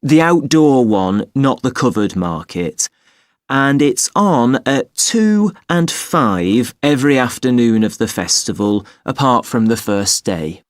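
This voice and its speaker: A man playing a patient, polite festival information officer in an acted listening exercise, giving details in a measured, helpful way. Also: medium pitch, lively intonation, no echo, clean studio sound. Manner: measured, helpful, spells words out slowly. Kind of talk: acted listening-test dialogue, clearly enunciated.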